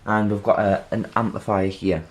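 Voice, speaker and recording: A man presenting an informal how-to demonstration, halting and rambling, often checking in with the listener. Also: fairly low pitch, little pitch movement, husky. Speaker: video tutorial presenter.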